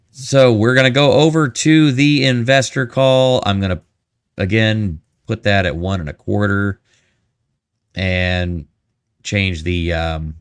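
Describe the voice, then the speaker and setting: A man's voice: sits low, no echo, clean studio sound. A man hosting a live stream with casual, joking commentary and asides to his chat.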